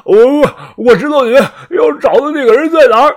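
A man's voice: fairly high pitch, animated, moderate pace, a bit bright, voice pushed up. A man voicing a frightened old male captive who begs frantically for his life. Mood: frantic. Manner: whimpering, frantic pleading. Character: old male captive villain, begging desperately.

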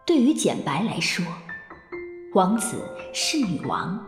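A woman's voice: mid-range voice, very animated, takes it slowly. A woman reading scripted, dramatic narration for a radio drama. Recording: echoey reverb with faint music.

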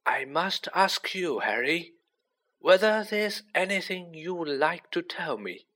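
A man performs a wise old wizard headmaster from a storybook. The voice is raised in pitch and very slow, with soft, deliberate phrasing. He sounds gentle and learned. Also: exaggerated voice. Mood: gentle, grave. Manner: measured, soft-spoken, deliberate.

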